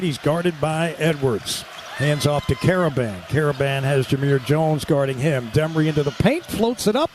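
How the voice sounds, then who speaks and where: A male radio announcer calls live basketball in a high voice. His phrasing is clipped and urgent, and there is clear noise behind him as he works the game. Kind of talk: live basketball play-by-play commentary.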